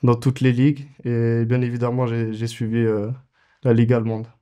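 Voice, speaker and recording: A man's voice: mid-range voice, little pitch movement, normal speed, dark tone, clear, resonant tone. A man in his twenties, a goalkeeper answering interview questions casually, with many fillers and restarts. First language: French. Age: 20s.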